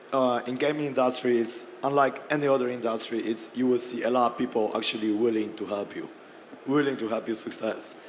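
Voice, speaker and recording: A hoarse man losing his voice, clearing his throat often as he speaks as a panelist. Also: medium pitch, fairly even intonation, a little fast. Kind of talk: panel discussion. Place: conference hall. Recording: reverberant hall with faint noise.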